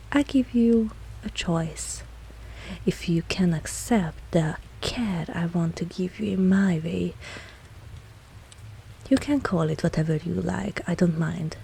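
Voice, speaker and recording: A woman playing a dominant, possessive vampire mistress in an intimate ASMR audio roleplay, cool and seductive, speaking deliberately and often dropping into a whisper. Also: on the low side, gravelly, mellow, husky, heavily acted, low-quality recording. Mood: stern, teasing, then commanding, seductive. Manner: slow, deliberate, commanding, teasing.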